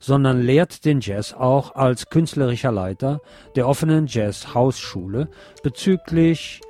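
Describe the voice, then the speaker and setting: A man's voice: medium pitch, measured pace, dark tone. A man hosting a radio music show in a conversational, wry and playfully wordy way. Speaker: radio host presenting new music.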